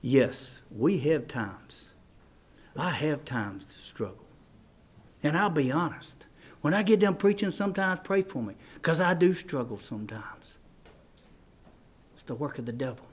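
A man preaching a fervent, folksy evangelical sermon, swinging between shouts and hushed appeals. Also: fairly high pitch. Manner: folksy, plain-spoken grammar with rhetorical questions.

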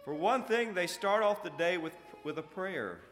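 Nervous underling demon male reciter, quivering, raised pitch, reverberant hall. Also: lively intonation, moderate pace, fairly bright tone, faint music behind. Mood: fearful. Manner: tremulous. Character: timid, quivering imp cowering before its master. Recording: echoey hall with long reverb.

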